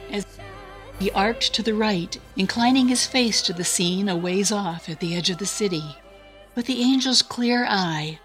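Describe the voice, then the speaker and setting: A woman with a breathy, husky voice narrating a scripted story in a reverent, expressive manner. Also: medium pitch. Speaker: show host and story narrator.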